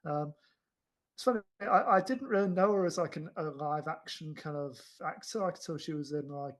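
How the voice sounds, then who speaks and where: A man co-hosting a film podcast, chatting casually in a halting, rambling way with repeated starts. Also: on the high side.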